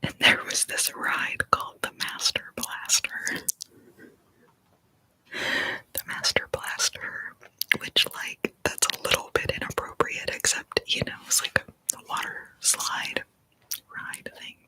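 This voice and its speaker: A young adult from Texas whispering playful tongue twisters and small talk in an ASMR video, each word shaped crisply. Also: slow pace, long pauses, sharp tone, rough recording. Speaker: ASMR creator.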